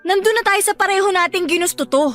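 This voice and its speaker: A woman's voice: extremely high pitch, fast talker, a bit bright, soft background music. An actress playing a hurt, indignant young woman with a Filipino accent, sarcastic and accusing in an emotional radio love drama.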